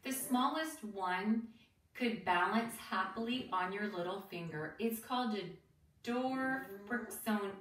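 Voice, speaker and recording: A woman reading a children's book aloud like a teacher, expressive and deliberate, pausing for asides and questions to young listeners. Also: mid-range voice. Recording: roomy, distant mic with faint noise.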